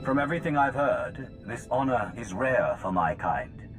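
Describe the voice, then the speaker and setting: An actor playing a composed, earnest, resolute male hero in a video game cutscene, speaking in a measured way. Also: medium pitch, expressive, a bit bright, soft background music, lightly acted. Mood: guarded, questioning.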